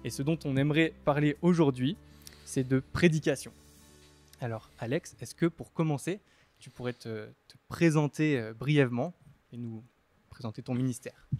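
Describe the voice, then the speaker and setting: Young male student host, mid-pitched, friendly, faint music bed. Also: normal speed, a little mellow. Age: young adult. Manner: friendly, scripted intro, clear. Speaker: podcast host. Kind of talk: podcast hosting with sponsor read.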